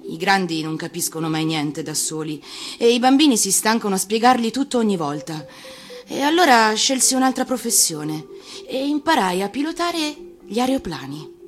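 A woman actor reading a storybook for radio, expressive and theatrical, with deliberate phrasing. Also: mid-range voice, faint music behind. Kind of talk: expressive scripted storybook narration.

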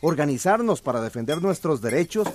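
A man's voice: on the high side, sing-song, brisk, darkish tone. A man playing a practical village son and community organiser in a radio drama. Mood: earnest. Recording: faint background noise, clinking dishes.

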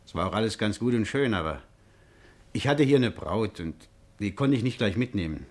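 An actor in a 1950s German radio crime drama playing an anxious, hot-tempered working-class man who tells his story haltingly. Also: fairly low pitch, expressive. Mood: uneasy, confiding.

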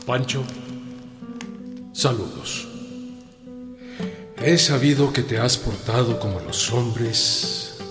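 An actor playing a courteous president in a radio drama, speaking formally and with measured care. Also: male voice, mid-range voice. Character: Francisco Madero, courteous formal president of Mexico. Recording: echoey hall reverb, music behind.

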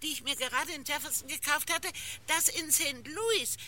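A woman's voice: sits high, moderate pace, sharp tone, a little hiss or hum. An actress playing a fussy, self-important old lady in a German radio crime play, telling things in a gossipy, eager, over-precise way.

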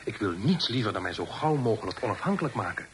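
Casting: a respectful young son in radio drama, bright forward tone, courteous and a little hesitant, faintly noisy old recording. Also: male voice, lowish voice, big pitch swings, fast. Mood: earnest, respectful. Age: young adult. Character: polite, deferential young gentleman, a grown son. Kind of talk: Dutch radio play acting.

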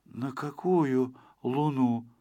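A man's voice: mid-range voice, extremely slow, mellow, no room sound. A man voicing a timid, gloomy little storybook fellow, hesitant and plaintive. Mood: sleepy, baffled.

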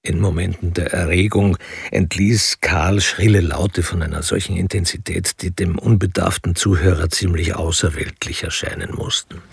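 An actor as the detached, ironic narrator of a crime story, reading scripted literary narration in a radio play. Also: male voice, low voice, fairly even intonation, normal speed, creaky. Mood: detached, measured. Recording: music behind the voice.

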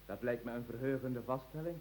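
A man playing a fussy, polite gentleman in an absurdist radio play. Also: medium pitch, fairly quick, a little hiss or hum, lightly acted, poor audio. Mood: tentative. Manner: polite, hesitant, pedantic. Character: Meneer Janssens, fussy gentleman proud of his insults.

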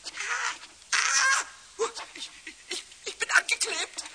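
A man playing a cheeky, childlike little kobold in a children's radio play, with a squawky voice and excitable, repetitive stammering chatter. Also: very slow, gravelly, exaggerated voice. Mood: whining, panicky. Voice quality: high, squawky cartoonish voice. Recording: hissy, clicky old radio play recording.

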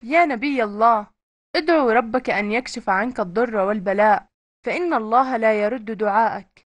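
A woman's voice: fairly high pitch, fairly quick, close to an everyday voice. A woman voicing a devout prophet's wife in a cartoon, earnest and imploring in formal speech. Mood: earnest pleading.

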